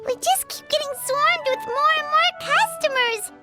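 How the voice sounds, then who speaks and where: A woman voicing a small, excitable, childlike fairy companion with a squeaky voice in a video game cutscene. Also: moderate pace. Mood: flustered, worn out. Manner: refers to self in third person, exclaiming. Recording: music under the voice. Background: prominent childlike background music.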